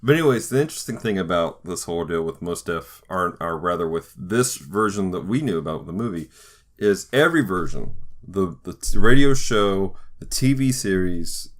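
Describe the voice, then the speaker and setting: Low-voiced man chatting on a podcast. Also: animated, moderate pace. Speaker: podcast co-host. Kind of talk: casual podcast discussion.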